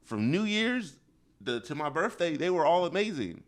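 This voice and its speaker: A man's voice: a little high, big pitch swings, moderate pace. A young man co-hosting a casual podcast chat, rambling and laughing as he talks. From Ohio. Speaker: podcast co-host.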